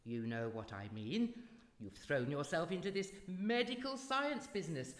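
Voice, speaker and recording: A man playing a suave, articulate, theatrical character in a live radio drama, speaking deliberately. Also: on the high side, lively intonation, natural voice. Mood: teasing flattery. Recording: echoey hall, live stage.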